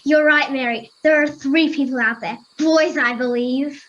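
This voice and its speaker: A woman's voice: high voice, fairly even intonation, normal speed, fairly bright tone, highs cut off. An Australian girl of about ten playing a polite, watchful Victorian schoolgirl in an amateur radio play. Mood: polite, wondering. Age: 10 to 11, child. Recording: noisy home recording with crickets.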